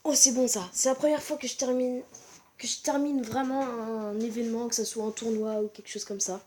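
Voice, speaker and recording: A woman's voice: a little high, steady tone, brisk, dark tone, slight background noise. A woman with a stuffy, congested voice from a cold, giving live gameplay commentary in short, repeated exclamations. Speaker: gaming channel host.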